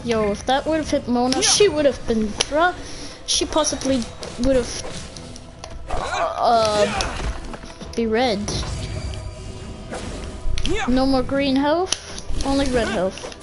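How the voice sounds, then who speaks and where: A woman's voice: sits high, lively intonation, slow, pauses often. A woman doing casual gameplay commentary in short, playful reactions. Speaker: gamer, channel host. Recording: loud game music behind voice.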